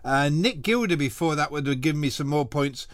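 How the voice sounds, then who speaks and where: A man hosts a radio music show from a small studio, talking loosely and cheerfully between records. His voice sits a little high for a man and is picked up close and dry on the studio microphone. He rambles, corrects himself and laughs at his own remarks. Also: expressive, fairly quick, no echo. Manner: chatty, rambling, self-deprecating, laughs often. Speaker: radio presenter. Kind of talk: casual radio chat between songs.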